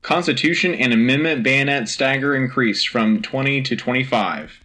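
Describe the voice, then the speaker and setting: A man playing an earnest, patriotic radio anchor reading military news in a crisp, urgent, official manner. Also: mid-range voice, normal speed, pushed and bright, lightly acted. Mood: brisk, official. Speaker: radio host. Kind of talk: scripted military news bulletin.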